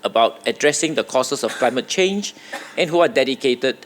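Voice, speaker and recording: A man giving a formal welcome address at a podium, with fillers and lively jumps in pitch. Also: moderate pace, a little hiss or hum. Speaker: university host.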